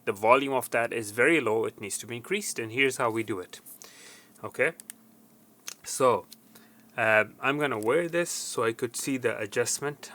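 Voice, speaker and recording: Bright-voiced man giving a how-to. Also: mid-range voice. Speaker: technician giving tutorial. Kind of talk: informal how-to instruction.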